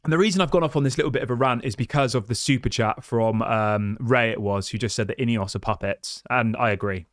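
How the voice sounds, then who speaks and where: A man's voice: mid-range voice, fast, few pauses, no echo. Passionate ranting man on a dry mic. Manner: passionate, ranting, many restarts and rhetorical questions. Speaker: football fan channel host. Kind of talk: livestream rant reading viewer chats.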